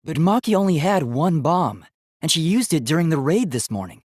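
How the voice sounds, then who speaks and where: A polite young male robot from a video game, speaks in a fairly high voice with very animated intonation. The delivery is formal, articulate and earnestly logical. The sound is dry, with no room on it. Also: normal speed, no room sound. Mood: analytical. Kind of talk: English video game voice acting.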